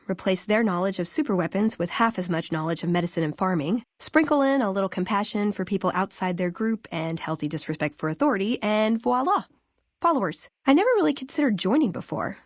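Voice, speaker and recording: Young woman scribe game companion, wry n chatty, idealistic, mid pitch, super dry studio sound. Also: darkish tone, no room sound. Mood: bright, wry. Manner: conversational, dry humour, sardonic asides. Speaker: voice actress in a video game. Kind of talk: acted video game companion dialogue.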